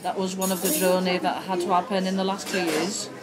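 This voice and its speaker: A woman's voice: fairly low pitch, little pitch movement, a little fast. A woman in her thirties from France, a guest who talks hesitantly with many fillers. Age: 30s. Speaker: guest, community worker. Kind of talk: casual chat. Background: crowd chatter.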